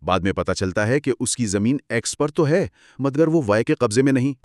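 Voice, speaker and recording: A man's voice: mid-range voice, lively intonation, normal speed, a little mellow, no room sound, clean studio sound. A man co-presenting a lecture as a scripted two-person dialogue, explaining in a didactic way.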